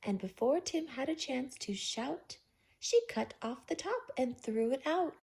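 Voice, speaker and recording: Animated woman reading a storybook, husky-soft. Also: high-pitched, a little slow. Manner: theatrical, rhythmic rhyming delivery. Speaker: storytime host and reader. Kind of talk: expressive children's storybook read-aloud.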